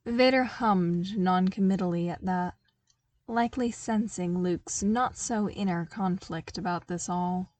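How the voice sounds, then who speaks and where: A woman's voice: on the low side, unhurried, a little mellow. A woman reading scripted fiction expressively as an audiobook narrator, close to the microphone.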